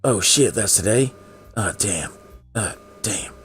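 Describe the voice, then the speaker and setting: A paranoid male student, acted out by a man, rants like a panicky conspiracy nut in a visual novel. The voice is pushed higher and keeps circling back on itself in alarm. Music plays behind it. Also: lively intonation, takes it slowly, fairly bright tone. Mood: panicked despair. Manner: alarmed, ranting, repeats himself.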